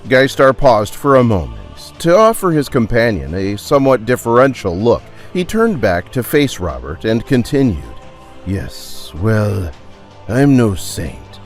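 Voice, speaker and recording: Animated male storyteller over music. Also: mid-range voice, moderate pace, hardly any pauses. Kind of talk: dramatised scripted story narration.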